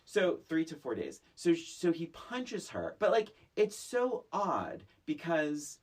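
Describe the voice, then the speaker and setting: A podcast co-host chatting casually about movies in a blunt, animated way, swearing freely. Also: medium pitch, takes it slowly.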